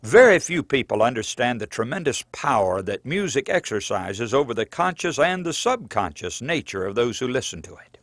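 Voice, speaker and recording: A man reading his own book aloud as scripted audiobook narration, in an earnest, measured preaching cadence. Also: medium pitch, sing-song, a bit bright, no echo.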